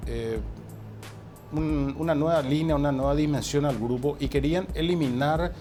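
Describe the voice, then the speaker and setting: This is an older man from Paraguay, a musician whose first language was Portuguese, speaking with a voice a little higher than most men. He reminisces slowly and reflectively in a relaxed interview. Faint music plays underneath. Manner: reflective, unhurried storytelling with many "eh" pauses. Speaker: musician and guest.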